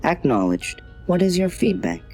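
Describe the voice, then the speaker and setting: A man's voice: high-pitched, sing-song, moderate pace, a little mellow, soft background music. A man voicing a polite but obtuse customer-service AI in an audio drama, formal, scripted and impassive. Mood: politely scripted. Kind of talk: automated assistant in audio drama.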